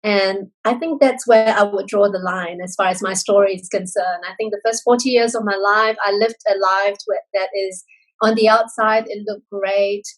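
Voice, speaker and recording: An earnest woman in her forties, originally from Singapore, tells her story as an interview guest. Her voice sits in a middle pitch, and she talks reflectively, restarting phrases and filling pauses with "um" and "you know.". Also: fairly even intonation, poor audio. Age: 40s. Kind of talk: candid personal storytelling.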